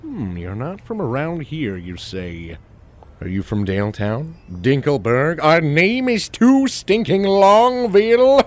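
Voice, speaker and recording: Casting: melodramatic cartoon superhero, grand and dim yet kindly, wildly varied intonation. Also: male voice, a little high, animated, slow pace, pitched above the performer's own voice. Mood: puzzled. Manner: pompous, melodramatic. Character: booming, pompous, dim but big-hearted male superhero. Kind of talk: cartoon audio drama acting.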